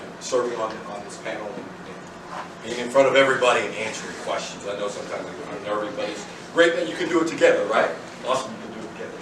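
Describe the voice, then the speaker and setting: Man, panel moderator, hesitant, lots of fillers, distant mic, echoey. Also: mid-range voice. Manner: hesitant, many fillers and restarts. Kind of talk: moderating a live student panel. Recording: echoey room from far off, loud noise.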